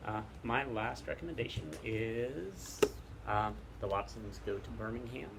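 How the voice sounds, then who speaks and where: A man casually recommending books outdoors, halting and hesitant as he pauses to think. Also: lowish voice, gravelly. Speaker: book recommender, teacher. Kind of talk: casual book recommendation chat. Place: outdoors in the wind. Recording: very loud wind noise, clicks, outdoor video.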